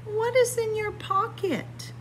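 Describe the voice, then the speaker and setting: A woman's voice: very high voice, fairly even intonation, slow, slight background noise, voice pushed up, low-quality recording. A woman voicing a bossy young girl bunny, a tidy big sister, in a picture book read-aloud. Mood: bossy, brisk. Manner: bossy, instructing.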